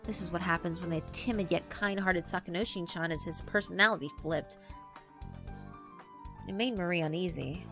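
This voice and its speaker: A woman's voice: on the low side, moderate pace, music in the background. A woman reading dramatic scripted narration for a visual novel.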